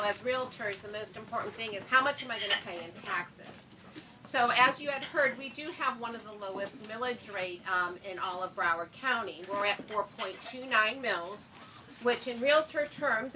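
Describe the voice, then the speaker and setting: Panelist: woman, loud and brisk, slightly high and bright, distant mic with faint noise. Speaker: city panelist. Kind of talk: panel talk, casual explaining.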